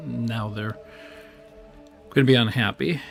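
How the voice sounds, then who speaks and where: A man streaming a strategy game live, talking casually and rambling with many fillers, his voice weak and strained. He speaks into a boom microphone at his gaming desk at home. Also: steady tone, a little slow, vocal fry, faint music behind. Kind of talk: casual live-stream commentary while playing. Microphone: desk microphone on a boom arm.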